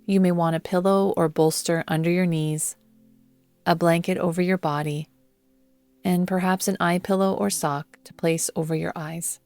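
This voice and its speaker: A woman guiding a yoga nidra meditation in a soothing, measured manner, leaving long pauses between phrases. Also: fairly low pitch, steady tone, a little mellow, no echo. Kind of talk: guided meditation narration. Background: background music.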